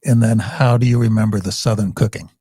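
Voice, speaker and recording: A man's voice: lowish voice, fairly even intonation, brisk, dark tone, breathy edge, dry recording, clean studio sound. A man hosting a podcast, chatting in a friendly way and asking his guest about her life. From Michigan. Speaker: podcast host. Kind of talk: casual interview.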